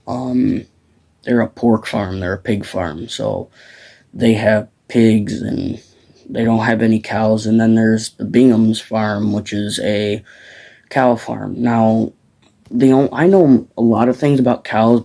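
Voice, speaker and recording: A man's voice: lowish voice, a little mellow, low-quality recording. A teenage boy from the Midwest, rambling casually about farms outdoors at dusk, with lots of fillers and restarts. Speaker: farm vlogger.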